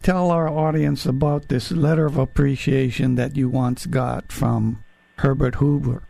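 A man's voice: medium pitch, steady tone, measured pace, vocal fry, warm tone. A man interviewing a guest, prompting him with friendly questions. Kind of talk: casual interview.

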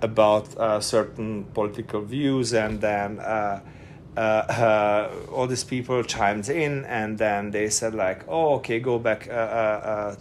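A man's voice: on the low side, steady tone, unhurried, a little hiss or hum. A middle-aged man from Hungary with a Hungarian accent, a guest chatting casually in an interview, hesitant and full of fillers and restarts. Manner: hesitant, many fillers and restarts, laughs often.

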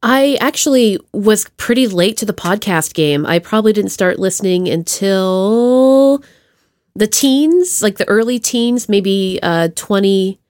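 Woman, mid-range voice, podcast host, informal and slangy, expressive, playful, very dry recording. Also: mid-range voice, unhurried, dry recording. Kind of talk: casual chat interview.

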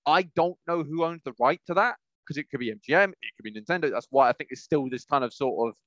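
A man's voice: a little high, expressive, quick pace, a bit bright, dry recording, highs cut off. A British man co-hosting a podcast in casual chat, rambling and often correcting himself as he goes. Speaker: podcast co-host.

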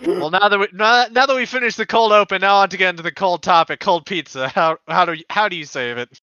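A man's voice: high voice, fast talker, bright, forceful tone, clear, resonant tone, no echo, lo-fi sound. A man joking and teasing in casual podcast chat as a guest.

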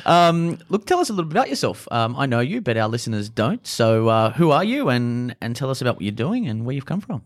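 A man hosting an interview, asking questions in a relaxed, hesitant way. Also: medium pitch, fast talker, few pauses, no echo. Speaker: podcast host.

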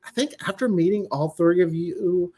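A man's voice: high-pitched, moderate pace, mellow, slightly rough. A man chatting casually as a podcast guest and writer, telling stories and acting out quoted lines as he goes. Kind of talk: casual interview chat.

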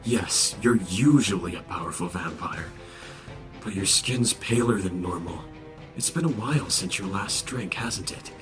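A smug vampire killer, played by a man with a low voice and a mocking, theatrical delivery. He menaces and taunts in an audio roleplay, with music underneath. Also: a little slow. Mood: taunting.

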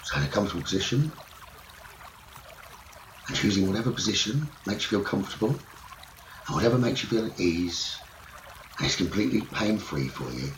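A man leading a guided sleep meditation as a teacher, speaking in soothing, measured short phrases. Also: lowish voice, animated. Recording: roomy echo, running water noise behind. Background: trickling stream water nature sounds.

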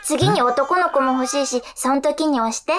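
An actress playing a bossy, excitable young sweetheart with an eager, emphatic manner in a radio comedy drama. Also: female voice, sits high, normal speed, background noise, lightly acted. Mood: eager, pushy. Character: bossy, excitable young sweetheart planning their whole future.

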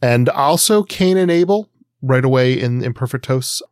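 A man chats as a podcast co-host, giving casual critique in a voice of average pitch. He is informal and opinionated, and the recording is tight and dry with no room sound. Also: animated, normal speed, no echo.

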